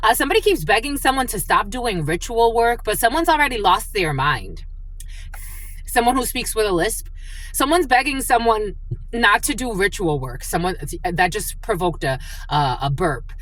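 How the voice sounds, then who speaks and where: A woman's voice: medium pitch, big pitch swings, normal speed, a bit bright, slight background noise, rough recording. A woman whose first language is Spanish and whose family is from the Dominican Republic. She is a spiritual channel who opens with a prayer and then chats her way through a tarot reading with many fillers. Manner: chatty and rambling, with frequent fillers.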